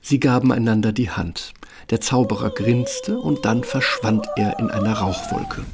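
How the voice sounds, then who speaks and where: A man's voice: mid-range voice, huge pitch swings, a little slow, creaky, heavy background noise. A man narrating a fairytale radio play as a scripted storyteller.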